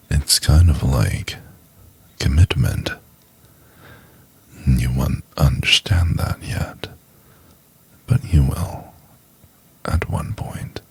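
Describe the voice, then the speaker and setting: Man acting as a gravelly, very deep whispering obsessive stalker. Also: no room sound, exaggerated voice. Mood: defensive devotion. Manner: slow, deliberate, coaxing and condescending. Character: obsessive possessive male stalker, menacingly tender lover. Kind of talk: boyfriend ASMR roleplay, intimate murmuring.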